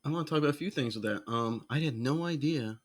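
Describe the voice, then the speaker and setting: A man's voice: mid-range voice, fast talker. A man hosting a podcast, asking curious, easygoing questions and reacting with surprise. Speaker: podcast host. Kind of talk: casual interview chat.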